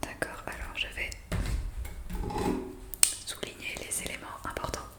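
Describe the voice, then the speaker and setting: A woman doing an ASMR roleplay in a breathy whisper, explaining things politely and hesitantly, close to the microphone. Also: a little slow, sharp tone. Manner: hesitant, improvised explaining with polite formal address. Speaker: ASMR roleplayer playing a zombie intake worker. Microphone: close USB condenser microphone.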